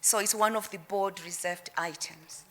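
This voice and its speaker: A woman facilitating a conference workshop in a relaxed, affirming, conversational way, keeping the discussion moving. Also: medium pitch, moderate pace. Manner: affirming, conversational, moves the session along. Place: conference room.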